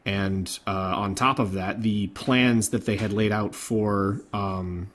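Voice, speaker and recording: Low-voiced man hosting a casual podcast. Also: fairly even intonation, takes it slowly, fairly bright tone, slight background noise. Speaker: podcast host. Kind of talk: casual podcast chat about game industry news.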